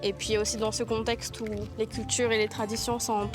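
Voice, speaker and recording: Woman, higher voice, earnest. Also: little pitch movement, brisk. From French Guiana. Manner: earnest, repeats words for emphasis. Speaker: village activist interviewee. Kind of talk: conversational interview answers. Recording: music behind the voice. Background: background music.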